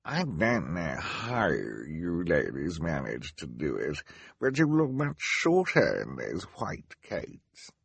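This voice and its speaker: A man voicing a nasty, clever henchman in a storybook, with a creaky, raspy voice and a slow, exaggerated, swooping delivery. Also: a bass voice, wildly swooping pitch, no room sound, exaggerated voice. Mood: sly. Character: nasty, clever bald long-nosed henchman.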